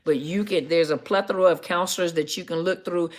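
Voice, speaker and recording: A middle-aged woman with a deep, scruffy, gravelly voice, a counselor chatting casually to viewers on a live stream and often correcting herself with ums. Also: fairly even intonation, a little fast. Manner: rambling, self-correcting, many ums.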